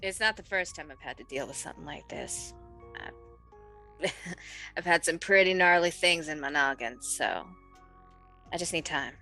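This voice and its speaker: A woman chatting and roleplaying as a tabletop game player, informal, slangy and animated in manner. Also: sits low, normal speed, long pauses, soft background music. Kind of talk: casual improvised roleplay chat.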